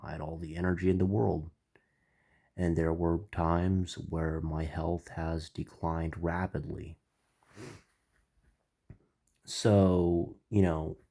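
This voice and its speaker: Very deep, gravelly man with a stuffy nose. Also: dark tone. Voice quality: slightly nasal. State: stuffy, congested. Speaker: channel host. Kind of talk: spiritual explainer monologue.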